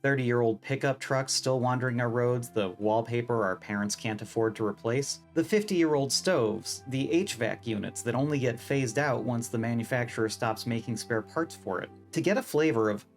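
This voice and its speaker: A man's voice: medium pitch, moderate pace, few pauses, faint music behind. A man narrates a scripted video essay in a wry, opinionated, casually profane way.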